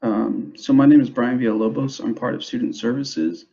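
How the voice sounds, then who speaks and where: A young man who grew up in the United States, giving an informal presentation to parents in a hesitant, halting way, on a dull, muffled recording. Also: mid-range voice, fairly even intonation, gravelly, mellow. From grew up in the United States, born in El Salvador. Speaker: school substance abuse prevention specialist.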